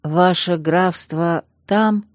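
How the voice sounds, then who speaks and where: A woman voicing a young female werewolf bodyguard, soft, reserved and polite. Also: lowish voice, extremely slow, dry recording, clean studio sound.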